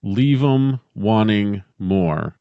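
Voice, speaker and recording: A man with a fairly low voice chats casually as a podcast co-host. The sound is very dry and a touch dull. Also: lively intonation, drawn-out words, smooth, no room sound. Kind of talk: casual chat.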